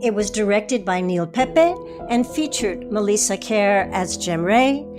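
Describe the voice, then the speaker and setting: A woman's voice: medium pitch, lively intonation, a little slow, over music. A woman hosting a theater program, giving scripted introductions and interview questions. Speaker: theater podcast host and interviewer.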